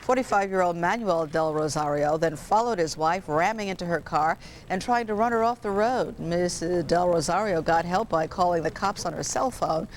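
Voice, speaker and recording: A woman's voice: lowish voice, moderate pace, slight background noise. A woman reading scripted news as a television anchor. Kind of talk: scripted studio newsreading.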